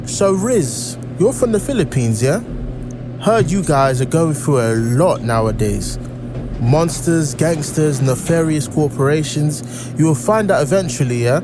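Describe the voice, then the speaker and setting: A man plays a young male informant in an audio drama, with a slightly high voice. He is friendly and casual as he explains things, and music plays clearly behind him. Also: animated, measured pace. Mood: knowing, serious. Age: 20s.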